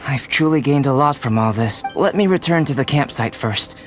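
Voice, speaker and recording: A man playing a calm, scholarly young alchemist in an anime video game, speaking in a measured, formal, gently philosophical manner. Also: mid-range voice, lightly acted. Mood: courteous, composed. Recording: music behind the voice.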